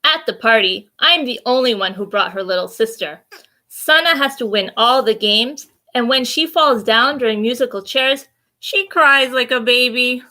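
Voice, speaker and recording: A woman's voice: fairly high pitch, moderate pace, sharp tone. A woman hosting children's story time, reading a storybook expressively with simple asides and questions for young listeners.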